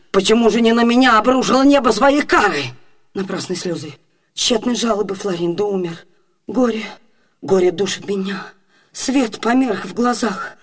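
An actress playing a passionate young woman disguised as a gentleman, commanding and theatrically emotional, in a radio play. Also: on the high side. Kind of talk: Soviet radio theatre acting.

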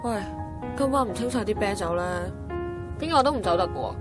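A woman's voice: medium pitch, animated, brisk, natural voice. An actress playing a heartbroken, tipsy young woman with a Hong Kong Cantonese accent, petulant and emotional, in a radio drama. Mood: tipsy, wistful. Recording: music behind the dialogue.

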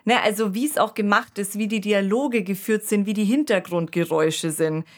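Thoughtful woman, medium pitch. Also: moderate pace. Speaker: podcast co-host. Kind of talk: conversational analytical discussion.

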